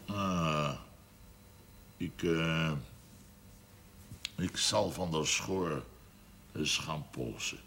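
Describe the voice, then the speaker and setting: An actor playing a gruff, stubborn older brewery man in a television drama, talking bluntly and haltingly in mutters. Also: rumbling low, fairly bright tone, slight background noise, exaggerated voice. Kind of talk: 1970s television drama acting.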